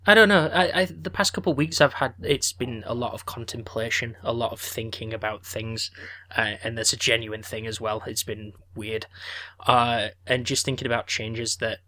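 A man's voice: medium pitch, steady tone, moderate pace, bright, forceful tone. A man around thirty, co-hosting a podcast in casual chat, joking and swearing freely. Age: 30s. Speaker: podcast co-host.

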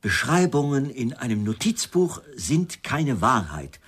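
An actor playing a wry, defensive writer suspected of murder in a German radio crime drama, answering in a pointed, evasive way. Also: male voice, mid-range voice, very animated, unhurried, a bit bright, breathy edge, exaggerated voice. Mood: provoking.

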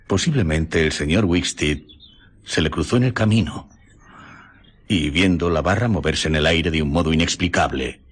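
An actor with a Spain accent playing a thoughtful, troubled gentleman doctor in a radio drama, with reflective, theatrical phrasing. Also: rumbling low, moderate pace, slightly rough. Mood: pensive, concerned. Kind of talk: Spanish radio drama acting.

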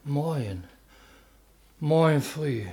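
An actor playing a meek, forgetful, tipsy village bailiff in a radio play, halting and plodding in his speech. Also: fairly high pitch, expressive, gravelly, dark tone, slight background noise, heavily acted. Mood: meek, befuddled. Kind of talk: stage-comedy radio play acting in dialect.

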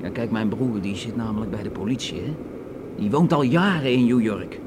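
An actor in a radio play playing a pushy, cagey airline passenger who talks in a blustering, slangy, repetitive way. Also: male voice, on the low side, brisk, natural voice. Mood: agitated, insistent. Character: pushy, cagey male passenger spinning paranoid mafia tales. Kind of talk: Dutch radio play acting. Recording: loud aircraft cabin noise behind.

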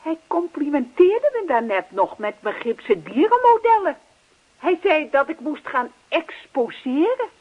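Impatient wife, high animated voice. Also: female voice. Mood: reproachful. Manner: brisk, exasperated, scolding. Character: impatient, dismissive wife scolding her moaning husband. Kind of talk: radio comedy play acting. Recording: muffled old radio play recording.

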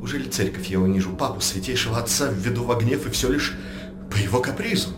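An actor playing an indignant, nervous high churchman in a theatrical radio play, with a fretful manner. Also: quick pace, over music, lightly acted. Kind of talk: theatrical verse radio drama acting. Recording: echoey room with background noise.

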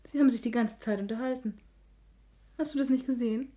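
An actress playing a dreamy, defiant sixteen-year-old daughter who answers tersely and evasively in a radio play. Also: female voice, fairly high pitch, fairly quick, mellow, natural voice. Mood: evasive, defensive.

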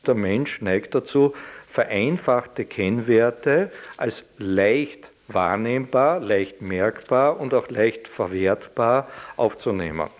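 A man explaining things in an interview as an academic, with measured, formal wording. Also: medium pitch, slight background noise.

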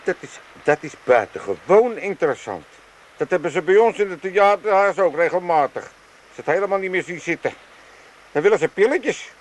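A man's voice: sits high, animated, moderate pace, low-quality recording. An older actor playing a grumbling, sarcastic, scheming old father in a comic radio play. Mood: sarcastic, scornful. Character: grumpy, scheming old Amsterdam father from a retirement home.

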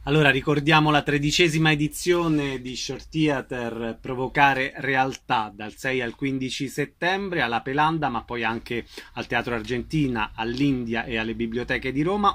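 A man's voice: a little high, a bit bright. A man hosting a radio interview and making announcements.

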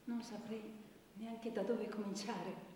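A woman acts out a wistful young woman with a hesitant, plaintive theatrical delivery. The voice is mid-pitched and earnest. It carries from a distance through long hall reverb, with clear noise in the recording. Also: fairly even intonation, slow, mellow, natural voice. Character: wistful young woman reporting her stolen dreams. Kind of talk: theatrical stage acting. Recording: very echoey hall from far off, noisy.

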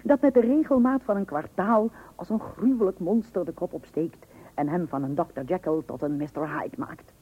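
An actress playing a long-suffering, dryly ironic wife in an old radio comedy, with a Netherlands Dutch accent. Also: female voice, on the low side, moderate pace, husky. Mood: wry. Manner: dryly ironic, mock-patient. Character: wry, long-suffering wife humouring her pompous husband. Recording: muffled old radio recording.

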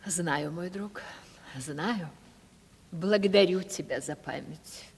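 An actress playing an affected, genteel, ageing rich landowner aunt in a Russian radio comedy play. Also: female voice, on the low side, slow, breathy edge, heavily acted. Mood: gracious. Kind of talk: 1970s Russian radio stage drama. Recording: long hall reverb.

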